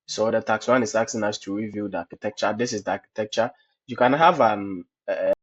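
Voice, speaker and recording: A low-pitched man with a husky voice and a slight cold talks through a cloud lab on screen, one step at a time. He keeps tying his steps together with "okay, so" and little fillers. The audio is dull and lo-fi, like a home screencast. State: has a cold. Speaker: tutorial host.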